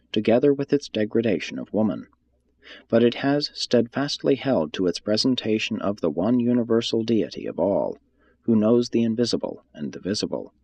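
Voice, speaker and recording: A man reading a religious text aloud as scripted audiobook narration, in a measured, formal and solemn manner. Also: on the low side, slightly rough, lo-fi sound.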